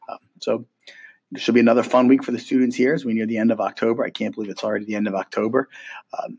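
Hoarse, quick-talking male teacher with a cold. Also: mid-range voice, a little mellow, rough recording. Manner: chatty, with frequent ums and restarts. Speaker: middle school social studies teacher. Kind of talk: weekly podcast update for families.

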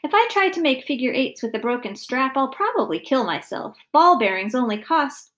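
Woman as a high, whiny storybook little girl. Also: sing-song, normal speed. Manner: loud, complaining, insistent. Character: whiny, insistent young schoolgirl, easily squealing.

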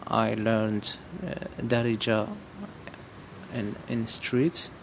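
Very slow, hesitant man. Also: on the low side, level tone, noisy background. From Morocco. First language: Amazigh. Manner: hesitant, searching for words, many restarts. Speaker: vlogger. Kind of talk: casual spoken monologue to viewers.

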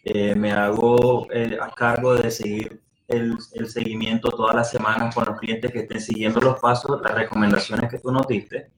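A man speaks as an advisor in a medium-pitched voice, explaining things conversationally and earnestly. He tends to repeat words as he searches for phrasing, and the room adds a clear echo. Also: little pitch movement, normal speed. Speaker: client advisor. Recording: echoey room sound.

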